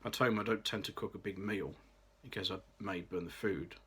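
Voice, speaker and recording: Low-voiced young man, bright tone. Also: fairly quick, slightly rough. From Birmingham, England. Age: late 20s. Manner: careful, read-aloud delivery with occasional restarts. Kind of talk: scripted personal vlog.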